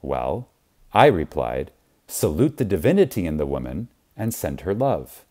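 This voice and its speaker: A man reads an audiobook in a low, mellow voice with widely varied intonation. His delivery is reverent and emphatic. He leaves deliberate pauses for effect. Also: very animated. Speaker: audiobook narrator. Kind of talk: scripted audiobook narration.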